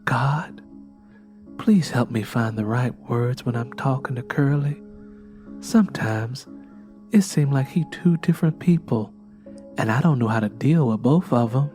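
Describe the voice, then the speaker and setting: A man voicing a weary, soft-spoken young woman who pleads earnestly in an audiobook story. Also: medium pitch, a little slow, faint music behind, close to an everyday voice. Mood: hushed, pleading.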